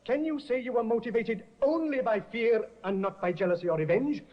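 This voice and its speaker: An actor playing a sharp, persistent defense barrister cross-examining witnesses in an old radio drama, heard through a dull restored broadcast. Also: male voice, very high-pitched, fairly quick. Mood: pressing. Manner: probing, pressing cross-examination. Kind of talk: 1950s radio acting.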